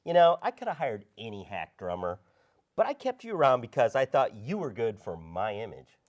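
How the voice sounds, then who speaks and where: A man's voice: medium pitch, sing-song, moderate pace, close to an everyday voice. A man playing a vain, cocky male rock star with a slangy way of talking in a script read. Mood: dismissive. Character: vain, self-absorbed male rock star, boastful and prickly. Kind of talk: script table read acting.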